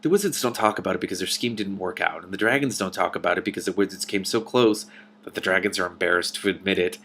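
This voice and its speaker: Guy doing a storybook young woman, kinda worried, blunt n matter of fact, lowish voice, bit of noise. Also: fast talker, fairly bright tone. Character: worried, frank young woman, dragon king's aide.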